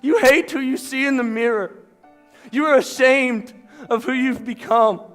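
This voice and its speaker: A man's voice: very high-pitched, fairly bright tone, faint music behind. A man playing a shy, self-mocking young man in a church stage monologue, joking about himself and then pleading with strong feeling. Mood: impassioned. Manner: quick self-deprecating jokes that swell into impassioned outbursts.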